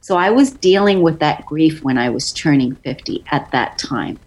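A woman's voice: low voice, lively intonation, normal speed, poor audio. A woman in her fifties telling a personal story in an effusive way, often repeating words for emphasis. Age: 50s.

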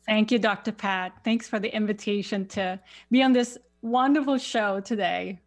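A woman from Sweden with a Swedish accent, a guest on a radio show as a spiritual life coach, speaking haltingly and searching for words. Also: mid-range voice. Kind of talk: radio interview.

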